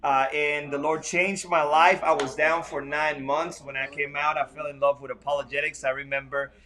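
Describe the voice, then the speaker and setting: A man from Costa Rica speaks in a bright, ringing voice, a little higher than most men. He talks earnestly and upbeat in a casual livestream, often pausing on "uh" as he goes. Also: little pitch movement. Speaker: ministry executive director. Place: hotel room.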